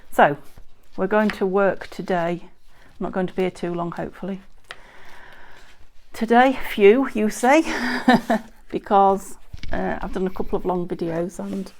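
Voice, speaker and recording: A woman from the UK hosting a crafting video, chatting casually and rambling a little as she shows her work, her voice a bit croaky. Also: mid-range voice, unhurried, darkish tone. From United Kingdom. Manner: chatty, rambling, self-correcting with frequent ums. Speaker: craft channel host. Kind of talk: casual crafting tutorial chat. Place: indoors at a craft table. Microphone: overhead camera, hands only on table.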